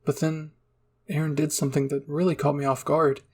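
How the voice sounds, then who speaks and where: A man narrates a first-person fan-fiction story. His voice is fairly high for a man and noticeably husky. He tells it softly and dramatically, like a roleplay reading. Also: flat delivery, brisk.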